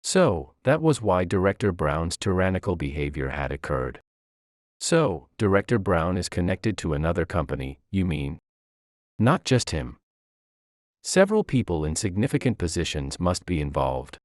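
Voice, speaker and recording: A man reading a scripted first-person story aloud, dialogue included, in a measured and formal way. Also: low-pitched, sing-song, no echo, clean studio sound. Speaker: story narrator.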